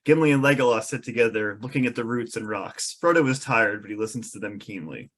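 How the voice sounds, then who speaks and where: Mid-pitched man reading stage directions. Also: a little fast, a bit bright. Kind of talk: scripted narration.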